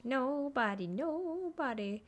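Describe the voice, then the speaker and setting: A woman's voice: high-pitched, takes it slowly, background noise. A woman giving casual, playful live commentary as she streams a game. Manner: playful, animated. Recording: faint game music behind.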